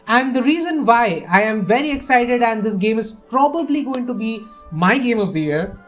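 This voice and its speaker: A woman from India, hosting a casual, excitable and opinionated game preview and often restarting her sentences. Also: on the high side. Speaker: gaming video host.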